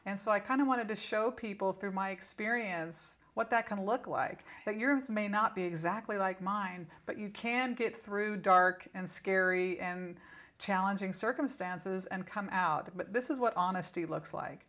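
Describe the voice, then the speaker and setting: A woman with a medium-pitched voice is the guest in an interview, speaking as a spiritual teacher. She explains conversationally and instructively, stressing key points with short repeated phrases and examples. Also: normal speed, fairly bright tone.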